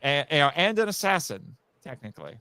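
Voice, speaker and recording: A man chatting casually as a guest on a live podcast, telling stories in a rambling, animated way and acting out quoted remarks. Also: fairly high pitch, a little fast, smooth, no room sound. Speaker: guest, audio drama writer.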